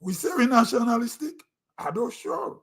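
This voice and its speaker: A man's voice: very high voice, very animated, moderate pace, a bit bright. A middle-aged Grenadian man with a Grenadian accent, giving a rambling, conversational opinion monologue on his own online show. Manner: rambling, conversational, with throat-clearing and restarts.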